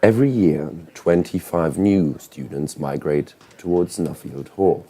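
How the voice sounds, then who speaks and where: Deep-voiced solemn nature documentary narrator, played by a man. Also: a little slow, dark tone. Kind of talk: scripted documentary narration parody.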